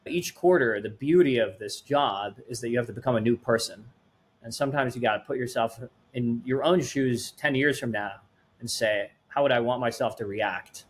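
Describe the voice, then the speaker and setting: A man in his late twenties from Sweden with a light Swedish accent, answering interview questions as a startup founder in an earnest, rambling way. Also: mid-range voice. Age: late 20s.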